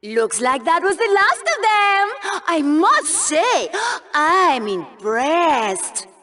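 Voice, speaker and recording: A woman's voice: very high-pitched, very animated, very slow, sharp tone, heavily acted. An actress playing a cruel, sarcastic female game-show co-host who delivers scornful commentary in a video game. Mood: taunting. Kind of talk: scripted arena commentary.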